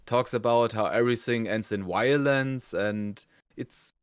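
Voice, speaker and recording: A man co-hosting a casual review, explaining things in a halting, conversational way. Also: mid-range voice, very noisy. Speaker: review show co-host.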